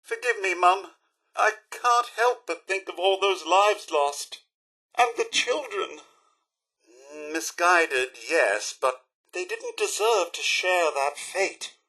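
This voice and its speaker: A man's voice: high-pitched, lively intonation, takes it slowly, bright, forceful tone, slightly rough, heavily acted, poor audio. A man voicing a fussy, devoted robot butler in a video game, with a British accent and a formal, theatrical, effusively polite delivery. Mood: sorrowful. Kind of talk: video game character voice acting.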